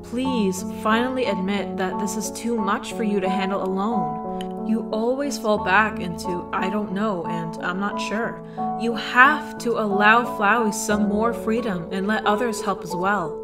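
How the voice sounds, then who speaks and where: A woman's voice: medium pitch, unhurried, loud music behind the voice, natural voice. A woman playing a firm, motherly character who pleads earnestly and emphatically in a fan comic dub. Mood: firm, coaxing.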